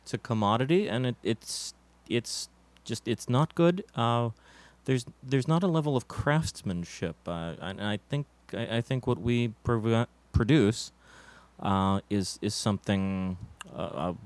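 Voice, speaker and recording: A man playing a musician in a deadpan mock interview, halting and stammering, earnest but evasive. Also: medium pitch, no echo. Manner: hesitant and full of fillers. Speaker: interviewed musician in a comedy sketch.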